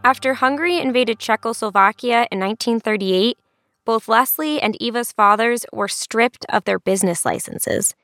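A woman reporter narrating a documentary in a clear, steady scripted style. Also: fairly high pitch, moderate pace, no echo, clean studio sound, faint music behind.

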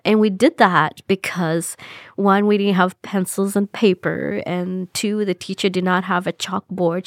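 A woman from Mozambique telling her life story in an interview, reflective and unhurried, often saying "you know.". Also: lowish voice, no echo, studio quality. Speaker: interview guest.

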